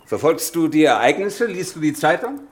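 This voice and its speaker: A man playing a worldly, blunt revolutionary statesman in a radio drama, condescending and swinging from quiet to forceful. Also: a little high, very animated, moderate pace. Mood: condescending, patient.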